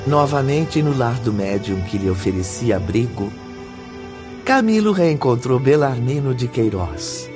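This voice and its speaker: A man with a medium-pitched voice narrates a scripted radio drama. His telling is formal and measured, and music plays clearly underneath. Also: big pitch swings.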